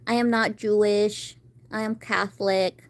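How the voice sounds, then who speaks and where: A woman's voice: mid-range voice, level tone, unhurried. A woman in her thirties chatting casually on a live stream, rambling about her day and answering viewers. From United States. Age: 30s.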